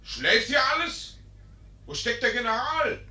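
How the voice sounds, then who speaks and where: An actor playing a young, hot-headed and naive army lieutenant, indignant and declamatory, in a 1950s German radio play. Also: male voice, very high-pitched, sing-song, a little slow, noisy background, heavily acted. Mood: calling impatiently.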